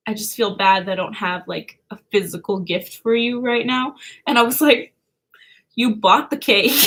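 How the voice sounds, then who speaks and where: A woman's voice: a little high, sharp tone. A young woman hosting a birthday livestream from home, chatting casually and giggling, with a lot of "like". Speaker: livestream host. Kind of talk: casual chat with viewers. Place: home, indoors.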